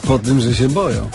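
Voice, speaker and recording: An actor playing a wry, scheming thief in theatrical radio-play dialogue. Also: male voice, mid-range voice, vocal fry.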